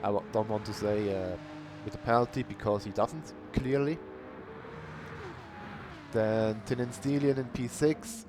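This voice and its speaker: A man commentating an online sim racing qualifying session in a plain, unhurried way. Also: fairly low pitch, over music. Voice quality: calls himself monotonous. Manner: unhurried, plain-spoken, bursts of cheering. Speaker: race commentator. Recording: noisy stream audio.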